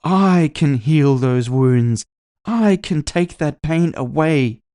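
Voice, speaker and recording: An ancient, imperious elf woman, acted by a man, rallies her followers in an audio drama. The voice is lifted high and projected loudly. Each phrase is declaimed with grand, drawn-out passion. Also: expressive, no room sound, clean studio sound. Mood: impassioned. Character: centuries-old she-elf politician, fiery, grandiose rally orator. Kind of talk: political rally speech in audio fiction.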